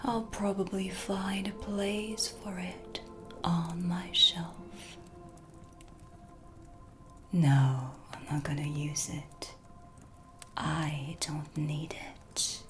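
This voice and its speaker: A woman playing a proud, mysterious dark witch in a whispered cinematic ASMR roleplay, with a breathy, hushed, deliberate delivery. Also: lowish voice, steady tone. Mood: coolly proud. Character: self-assured dark witch, mysterious and proud, in her shop. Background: music, dark ambience.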